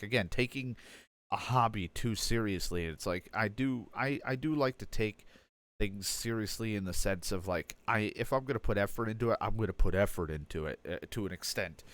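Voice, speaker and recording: A young man with a low-leaning voice speaks through a badly stuffed-up nose. He rambles in a candid, self-deprecating way, circling back with fillers and restarts as he talks through his own feelings. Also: fairly bright tone. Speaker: streamer. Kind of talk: candid personal update, casual talk.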